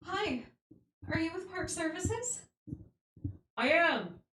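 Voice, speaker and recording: Horror radio play part, performed by a woman: high-pitched, calling out, concerned park-side encounter. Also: expressive, takes it slowly, fairly bright tone, exaggerated voice, low-quality recording. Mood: alarmed. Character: park ranger approaching a stranger, calling out.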